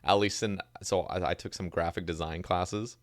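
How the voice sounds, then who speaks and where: A man's voice: low voice, fairly even intonation, moderate pace, no room sound, studio quality. A young man hosting a podcast, chatting casually and reading out listener questions. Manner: easygoing, reads listener questions. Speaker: podcast host.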